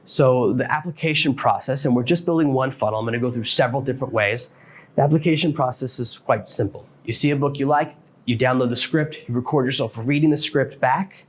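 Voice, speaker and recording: Man podcasting over noticeable background noise. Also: mid-range voice. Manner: plainspoken, explanatory, addresses listener directly. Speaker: podcast host. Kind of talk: instructional solo podcast talk.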